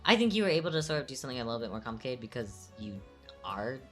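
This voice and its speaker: Woman, very deep voice, lively pitch, relaxed streaming chat, faint music behind. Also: racing. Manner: laid-back, chatty, lots of "like" fillers. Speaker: streamer co-host. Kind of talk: casual chat while animating on stream.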